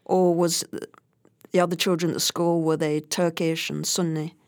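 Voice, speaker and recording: A woman's voice: low voice, level tone, moderate pace, darkish tone, dry recording, studio quality. A woman hosting a podcast, welcoming her guest and prompting her with plain, brief questions. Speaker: podcast host. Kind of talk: podcast interview.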